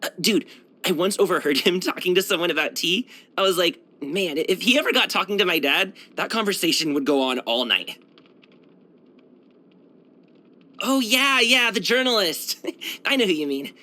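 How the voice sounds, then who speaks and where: A man's voice: high voice, lively intonation, brisk, sharp tone. A man voicing a brash, cheerful young martial arts dancer and guard in a video game, chatting casually in a slangy, boyish, self-assured way. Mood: chatty, curious. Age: young adult. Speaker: video game character voice actor. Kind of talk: casual, conversational game voice lines.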